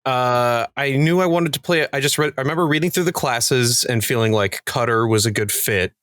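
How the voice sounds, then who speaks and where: A man's voice: mid-range voice, brisk, a bit bright, no room sound. Man chatting casually with dry, close sound. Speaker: podcast cast member discussing their show. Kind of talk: casual panel chat.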